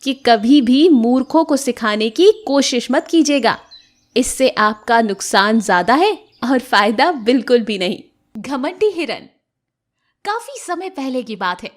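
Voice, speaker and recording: A woman's voice: high voice, animated, unhurried. A woman narrating scripted moral tales for children as a storyteller.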